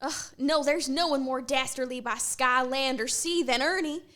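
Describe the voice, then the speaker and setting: A woman playing an eager young student who talks in an excitable, gushing way. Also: high-pitched, moderate pace. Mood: excited.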